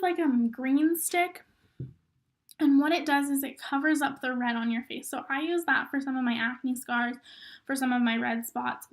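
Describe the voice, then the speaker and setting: A high-pitched young woman talks through a makeup how-to in a loose, chatty way, filling her sentences with "um" and "like." Her voice is a bit hoarse as she gets over being sick. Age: young adult. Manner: chatty, filler-heavy, lots of "um" and "like". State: hoarse, recovering from illness. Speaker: rodeo queen giving makeup tips. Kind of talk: casual tutorial vlog.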